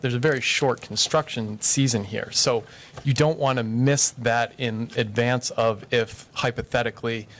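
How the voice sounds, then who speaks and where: A man's voice: mid-range voice, a bit bright, slight background noise. A man answering questions at a city council meeting, firm and matter-of-fact, explaining at length. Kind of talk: public meeting testimony.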